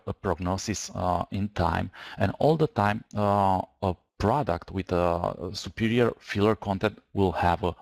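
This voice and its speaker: A man's voice: deep voice, gravelly, no room sound. A man in his thirties with a Romanian accent, Romanian his first language, giving a dental webinar lecture over slides, hesitant with many fillers and restarts. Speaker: dentist lecturer.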